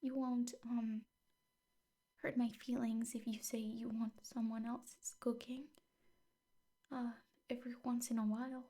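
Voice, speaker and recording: Casting: actress as obsessive yandere woman teacher for ASMR roleplay; soft, dark-toned, very unhurried, doting and coaxing. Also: fairly high pitch, flat delivery. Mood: hurt, pleading. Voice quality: soft, breathy, often whispering. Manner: slow, doting, coaxing with hesitant pauses. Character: possessive, lovesick yandere woman teacher coaxing her captive.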